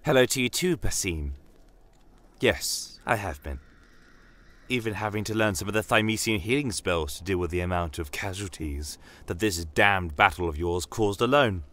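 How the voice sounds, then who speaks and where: A man's voice: low-pitched, animated, moderate pace, a bit bright. A man voicing a weary female battlefield healer from a fantasy tale, dry, sardonic and matter-of-fact.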